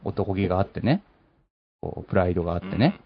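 A man hosting a show, explaining a segment in lively, excitable conversational talk. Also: sits low, quick pace, dull audio, a little hiss or hum. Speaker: show host.

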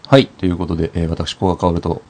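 Guy radio host, talks super fast, lowish voice, teasing his co-hosts, comedic banter. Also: flat delivery, a little mellow. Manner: teasing, mock-scolding.

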